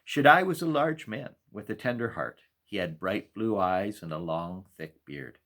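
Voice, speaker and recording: Man, low pitch, children's storytime narration, measured, expressive, deliberate pauses. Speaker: pastor reading a children's story. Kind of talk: storytime read-aloud narration.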